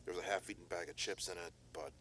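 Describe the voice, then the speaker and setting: A man playing a calm, methodical male private detective in an analog horror audio drama, speaking in a measured, formal, reassuring way. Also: low voice, slight background noise. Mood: steady.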